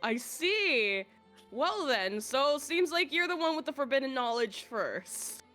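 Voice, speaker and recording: High bright-voiced woman co-commentator. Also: sing-song, a little slow, faint music behind. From United States. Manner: playful, laughs easily. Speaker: esports co-commentator. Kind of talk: casual color commentary.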